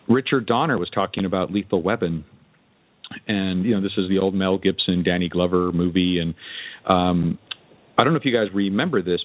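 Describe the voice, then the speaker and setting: Male podcast host, lowish voice, casual and rambling, on dull lo-fi audio. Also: no room sound. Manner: rambling, hesitant, frequent "you know" and "kinda". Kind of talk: casual interview chat.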